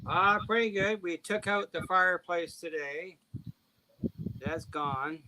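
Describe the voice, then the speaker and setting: A man's voice: high voice, slow pace, bright, forceful tone. Older man, noisy line. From Canada. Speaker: guest. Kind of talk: casual chat.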